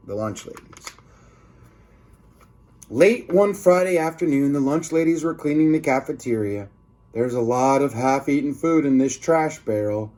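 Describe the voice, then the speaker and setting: A man reading a children's book aloud expressively, like a teacher, with informal asides to his listeners. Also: mid-range voice.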